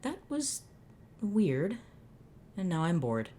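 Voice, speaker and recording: A woman voicing a blunt, aloof teenage boy who answers in flat, curt replies. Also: lowish voice, slow, a little hiss or hum, pitched above the performer's own voice.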